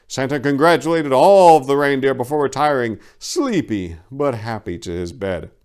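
A man in his forties reads a story aloud in a theatrical, mock-serious way. His mid-pitched voice swings widely, swelling into emphatic peaks and dropping into hushed asides. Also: lively intonation, moderate pace, smooth. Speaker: reader. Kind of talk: dramatic reading of a parody romance story. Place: small indoor room lined with bookshelves. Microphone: lapel microphone.

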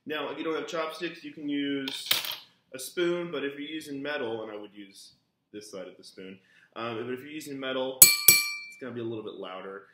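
A man in his thirties, a music teacher giving a relaxed, chatty lesson at home, full of ums and uhs, recorded by a camera on a kitchen table. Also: mid-range voice, moderate pace. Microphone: camera on the table in front of him. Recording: echoey kitchen with faint noise. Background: glasses clinking.

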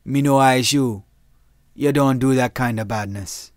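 A cocky, violent man, voiced by an audiobook narrator, full of bluster. His lines push loud and high, and the sound is dry and close. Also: fairly even intonation, fairly quick, no echo, natural voice. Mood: defiant. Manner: blustering, then pleading. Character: arrogant, violent man, desperate when cornered. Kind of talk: audiobook character voice.

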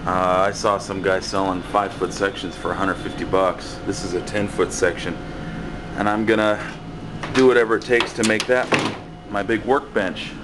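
Low-voiced man rambling through a shop tour. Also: normal speed, background noise. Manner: rambling, relaxed, many fillers and restarts. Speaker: building maintenance worker giving a shop tour. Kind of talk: casual walkaround tour. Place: cluttered basement workshop, indoors. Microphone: handheld camera mic, speaker off screen.

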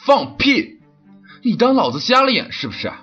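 Bullying male police officer played by a man, coarse and commanding, high voice with wild pitch swings, for radio drama. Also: big pitch swings, normal speed, dull audio, faint music behind. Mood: angry, commanding. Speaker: voice actor. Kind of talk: audio drama acting.

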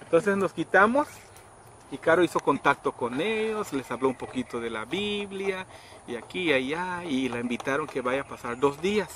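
A middle-aged man casually telling a story outdoors, acting out quoted people with sudden high pitched voices. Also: expressive, moderate pace, fairly bright tone. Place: outdoors under trees in a rural yard. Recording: outdoor noise in background.

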